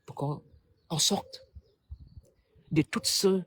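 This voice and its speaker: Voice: medium pitch, drawn-out words, a bit bright, a little hiss or hum. A speaker from Cameroon delivers a preachy, rhetorical political monologue to the public. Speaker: political commentator.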